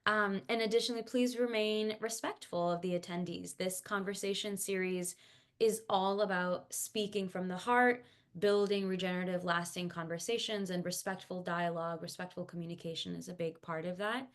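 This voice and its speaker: A woman's voice: lowish voice, fairly even intonation, normal speed. A woman hosting an online panel talk over a video call, speaking casually with fillers and restarts and encouraging the audience. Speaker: host and founder of a climate career coaching agency. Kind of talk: webinar hosting.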